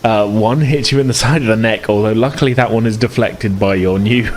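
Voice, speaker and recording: A man narrating as game master of a tabletop game, relaxed and hesitant, with many fillers. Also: fairly low pitch, a little fast, a little hiss or hum.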